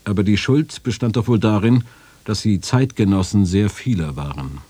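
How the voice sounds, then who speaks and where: Low, dry-miked man reading formally. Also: expressive, normal speed, mellow, slightly rough, no room sound. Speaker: quotation reader in a radio feature. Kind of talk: formal reading of documents and quotations.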